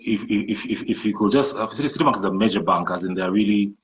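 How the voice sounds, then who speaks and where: A man from the Kenyan coast with a Kenyan accent, a native Swahili speaker, talking as a guest in a casual interview in a measured, thoughtful way. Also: lowish voice, dull audio, slight background noise. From Kenyan coast, Malindi. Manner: measured, thoughtful, frequent restarts and fillers. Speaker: guest entrepreneur.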